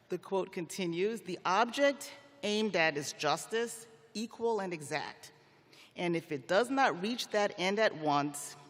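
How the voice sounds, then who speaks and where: A middle-aged man speaks from a podium in a large arena, his fairly high voice carried with long reverb. He delivers a prepared ceremonial address as a judge would, in measured, formal phrases with deliberate wording. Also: a bit bright. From Liberia. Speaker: commencement speaker, federal magistrate judge. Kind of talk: prepared commencement speech.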